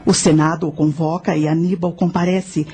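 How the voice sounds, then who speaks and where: A woman playing a knowing guide who narrates ancient history in a radio drama, measured and instructive. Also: deep voice, little pitch movement, warm tone, husky, soft background music.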